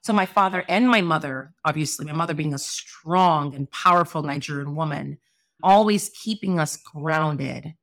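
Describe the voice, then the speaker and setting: Woman guest, middle-aged, from Sierra Leone; low-ish voice, measured and earnest delivery. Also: fairly bright tone. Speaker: guest, lawyer and entrepreneur. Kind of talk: podcast interview.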